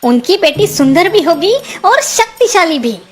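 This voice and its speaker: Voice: very high-pitched, big pitch swings, measured pace, heavily acted. A performer voicing a small, boastful mouse in a children's cartoon story, with a self-important, declamatory delivery. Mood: eager, earnest.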